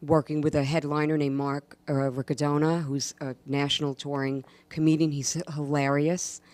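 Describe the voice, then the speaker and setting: A woman's voice: sits low, little pitch movement, normal speed, a little mellow. A woman chatting casually as an interview guest, speaking in an emphatic, enthusiastic way. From New York, USA. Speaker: guest, actress and stand-up comedian.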